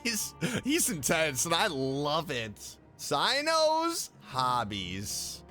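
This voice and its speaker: A man giving casual, excitable and playful reaction commentary as a video streamer, gushing with enthusiasm. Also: a little high, very slow, faint music behind. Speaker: YouTube streamer reacting to game voice lines.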